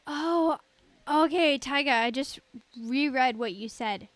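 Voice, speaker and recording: A woman with a fairly high voice chats casually as she streams a game. She rambles in a giggly, self-deprecating way. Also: takes it slowly, dry recording. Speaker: livestreamer. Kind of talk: casual gaming livestream chat.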